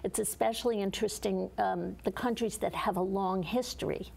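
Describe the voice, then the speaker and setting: A woman's voice: medium pitch, fairly even intonation, normal speed, vocal fry, a little mellow, breathy edge. A middle-aged woman from Connecticut, a geologist and author, answering interview questions earnestly, with many fillers and restarts. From Connecticut, USA.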